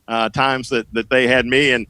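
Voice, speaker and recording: A middle-aged man, a sports broadcaster, reminiscing as a guest in a casual conversation, telling anecdotes in a halting, searching way. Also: medium pitch, level tone, bright, forceful tone, clear, resonant tone, no room sound, poor audio.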